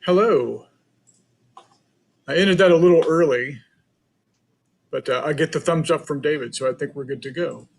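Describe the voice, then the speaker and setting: A man's voice: fairly high pitch, moderate pace, vocal fry, pauses often, slightly rough. A man giving a prepared welcome and announcements as host of a panel. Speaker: festival president introducing a panel.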